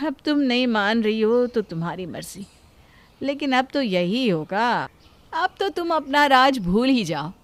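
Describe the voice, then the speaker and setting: Woman acting a boastful, high-pitched sister-in-law. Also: sing-song, slow pace. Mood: taunting. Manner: gloating, haughty, showy. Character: boastful, taunting young Indian elder sister-in-law. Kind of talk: Hindi family moral-story acting.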